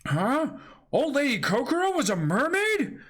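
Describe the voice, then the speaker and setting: A goofy young pirate captain played by a man, blunt and full of naive curiosity. The voice is high, bright and lively, as in an amateur comic reading. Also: measured pace, exaggerated voice. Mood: naive, curious.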